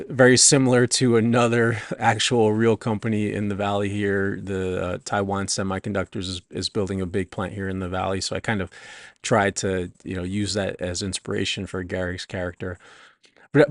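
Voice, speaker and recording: A man being interviewed as an author and business coach, talking conversationally in a halting, reflective, explanatory way. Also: on the low side, dry recording, clean studio sound.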